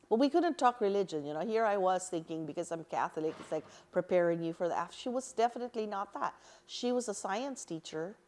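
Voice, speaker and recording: Middle-aged woman from the philippines, lowish voice, earnest and lively, laughs a lot telling her stories. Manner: earnest, animated, laughs easily. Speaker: interview guest. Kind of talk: reflective personal storytelling.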